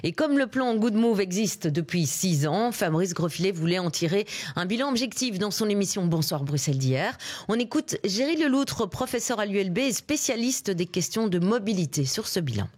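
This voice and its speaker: A woman's voice: medium pitch, expressive, normal speed, a little mellow. A woman, co-hosting morning radio and introducing a recorded segment. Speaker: radio co-host. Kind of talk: radio presenting.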